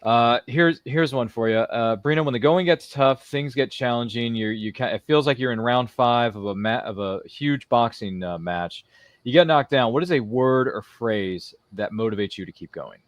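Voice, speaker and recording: A man with a slightly low-pitched voice hosts a casual interview show. He rambles along chattily, dropping in quotes and small impressions. The sound is dry, with very little room in it. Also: moderate pace, dry recording. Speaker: show host.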